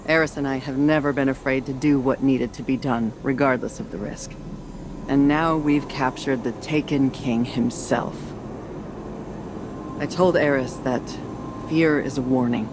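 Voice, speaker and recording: An actor playing a hardened military commander in a video game, grave, measured and resolute. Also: male voice, lightly acted. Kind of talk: dramatic video game cutscene acting. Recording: heavy rumbling ambient noise.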